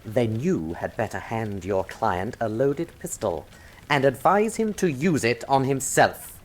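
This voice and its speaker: An actor playing an arrogant, blackmailing British army colonel in a radio drama, brusque, contemptuous and threatening. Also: male voice, medium pitch, big pitch swings, unhurried, slight background noise. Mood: menacing.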